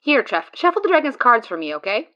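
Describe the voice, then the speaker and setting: A woman's voice: fairly high pitch, big pitch swings, quick pace, bright, forceful tone, dull audio. A woman playing a brash, teasing and loyal friend who reassures and boasts. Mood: confident. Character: Rizara, confident loyal friend and card gamer. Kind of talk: fan audio drama acting.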